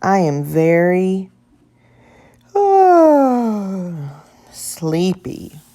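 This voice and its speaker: A woman's voice: mid-range voice, big pitch swings, extremely slow, dark tone, exaggerated voice. A woman voicing a grumpy, sleepy male hotel guest who grumbles indignantly in a children's story. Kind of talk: children's picture book character voice.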